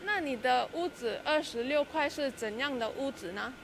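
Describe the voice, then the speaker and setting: A woman interviewing people on the street, asking clear, inquisitive questions. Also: sits high, expressive, moderate pace. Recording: clear background noise outdoors.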